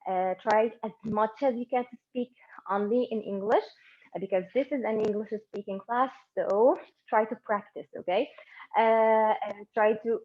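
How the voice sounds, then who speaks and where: A woman's voice: a little high, moderate pace. Lady teaching english online, lots of ums and uhs, kinda dull stream audio. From Egypt. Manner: relaxed and encouraging, with many fillers and restarts. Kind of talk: live online conversation class. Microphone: laptop or phone mic on a video call.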